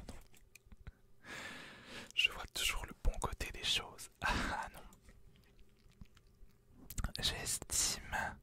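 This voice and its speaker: A young man from France whispering through a casual vlog in a joking, self-mocking way. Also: extremely high pitch. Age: young adult. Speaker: freelance graphic designer. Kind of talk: whispered ASMR-style vlog.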